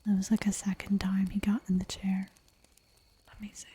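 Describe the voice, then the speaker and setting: A woman whispering softly for an ASMR livestream, chatting in a giggly, rambling way while she draws at home. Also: mid-range voice, monotone, moderate pace, slightly rough. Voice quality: soft whisper throughout. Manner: giggly, rambling, playful asides.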